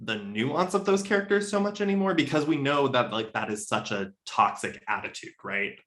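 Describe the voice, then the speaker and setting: Thoughtful man with a mid-pitched voice. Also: lively intonation, moderate pace, fairly bright tone. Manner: thoughtful, hedging, self-correcting, tags points with "right?". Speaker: podcast guest, literary editor. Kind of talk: casual literary discussion.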